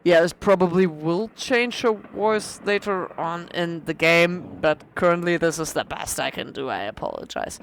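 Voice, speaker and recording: A hoarse woman casually rambling through gameplay commentary as a let's play creator, self-deprecating with many fillers. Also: lowish voice, lively intonation, moderate pace, hardly any pauses, slight background noise.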